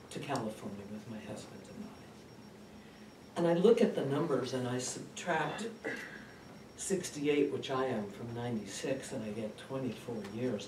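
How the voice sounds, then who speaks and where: A man playing a devoted, worn-out woman in her late sixties in a comic stage monologue, soft-spoken and swooping. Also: medium pitch, close to an everyday voice. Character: devoted, worn-out 68-year-old woman caring for her mother. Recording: distant, roomy, noisy live recording.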